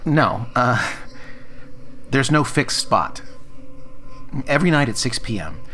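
A man's voice: mid-range voice, pushed and bright. An actor playing an earnest, exasperated man who runs a time-traveling diner in audio fiction, explaining things in a wry, hesitant way.

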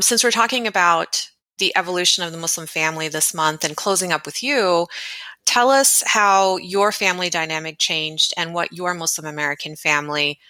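Woman podcast host, bright tone, lowish pitch, affirming, dry close sound. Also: dry recording. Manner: warm affirming interjections, religious phrases. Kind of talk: interview hosting.

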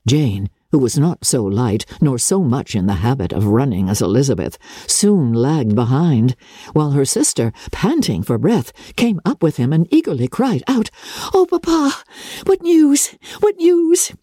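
A woman from Canada reads classic fiction as a practised narrator. Her tone is mellow and dark, and the sound is dry. The delivery is measured and expressive, in the manner of dramatic literary storytelling. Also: very deep voice, breathy edge, no echo. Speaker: audiobook narrator, actress and radio host.

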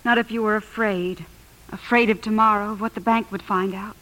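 Actress as an anxious, earnest wife, medium pitch. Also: female voice, fairly even intonation, fairly quick, fairly bright tone, natural voice, low-quality recording. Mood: uneasy, probing. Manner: earnest, pleading, dramatic. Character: anxious, perceptive young wife confronting her husband. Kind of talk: 1950s radio drama acting.

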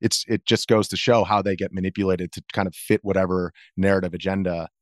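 An American man speaking as an interview guest, explaining things in a measured, conversational way. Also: deep voice, little pitch movement, no echo, clean studio sound. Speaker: interview guest, video journalist.

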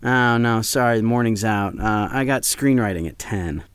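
An actor playing an earnest, gently teasing American film student in his early twenties, talking casually in an audio drama. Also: fairly low pitch, moderate pace, smooth, no echo, lightly acted. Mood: reluctant, annoyed. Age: early 20s.

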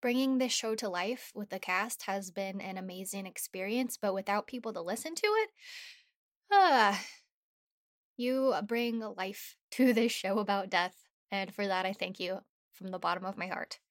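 A woman's voice: mid-range voice, normal speed, no room sound. A woman who created the show, reading scripted podcast announcements in a playful, upbeat manner. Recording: dry, faint music behind.

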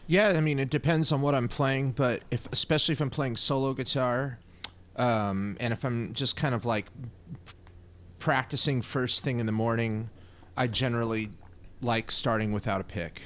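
A man with a voice in the middle range, co-hosting a relaxed podcast. He answers loosely and a bit hesitantly, with little fillers between thoughts. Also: medium pitch, moderate pace. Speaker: podcast co-host. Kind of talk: casual podcast chat.

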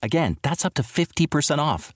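A man's voice: big pitch swings, fairly quick, dry recording, clean studio sound. Upbeat man reading an ad. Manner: smooth upbeat pitch. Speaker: ad reader. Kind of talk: scripted ad read.

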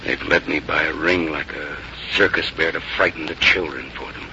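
A weary but resolute general with a deep, intensely forceful voice. He speaks with grave, formal oratory in the manner of 1950s radio drama, on a dulled old broadcast. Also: moderate pace, full character voice. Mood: bitter. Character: weary, proud, resolute male revolutionary general. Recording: muffled old radio broadcast, faint noise.

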